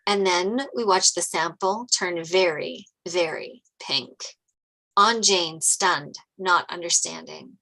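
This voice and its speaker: A woman's voice: fairly low pitch, takes it slowly, vocal fry, sharp tone, poor audio. A woman reading stage directions as narrator at a script table read.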